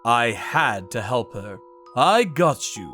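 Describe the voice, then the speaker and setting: A man voicing a hardboiled male vigilante who narrates the story in a grim, steady manner in a manga dub. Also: mid-range voice, slow, faint music behind, heavily acted.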